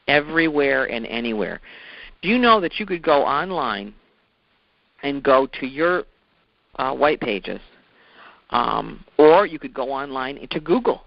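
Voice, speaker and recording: A man's voice: a little high, dry recording. A man giving an informal instructional talk as a sales trainer, explaining things step by step in a conversational way and repeating key points. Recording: dull, lo-fi audio.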